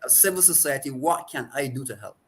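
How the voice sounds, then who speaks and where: A man in his forties with a strong Barbadian accent, speaking as an interview guest at a middle pitch. He answers reflectively, often restarting his sentences as he gathers his thoughts. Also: expressive, fairly bright tone, breathy edge. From Saint Vincent and the Grenadines. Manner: reflective, halting with repeated restarts. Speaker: counselor and guest.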